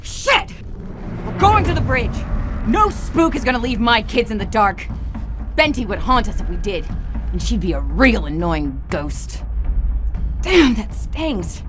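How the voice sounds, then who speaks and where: A woman's voice: on the high side, sing-song, measured pace. A woman playing a tough, sardonic, foul-mouthed marine sergeant with a commanding manner in a military drama. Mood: defiant. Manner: commanding, sarcastic, gruff. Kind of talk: motion comic voice acting. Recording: dramatic music behind.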